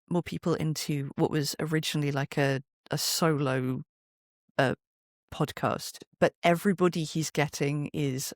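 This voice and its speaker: A middle-aged performer chats as a podcast guest, telling stories conversationally. The voice sits at a medium pitch and the delivery is wry and self-deprecating. The sound is dry, with no room around it. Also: dry recording. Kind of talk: conversational interview, anecdotal storytelling.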